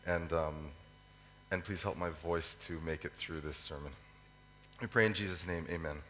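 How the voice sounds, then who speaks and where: A man with a cold, his voice hoarse and fading, preaches a sermon with many ums and pauses to clear his throat. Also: sits low, steady tone, fairly bright tone. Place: church hall. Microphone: microphone. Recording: echoey hall with faint hum.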